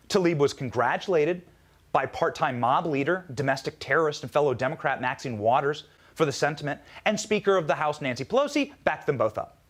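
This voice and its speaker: An American man delivering a scripted political monologue as a commentator, in an emphatic, rhetorical way. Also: fairly high pitch.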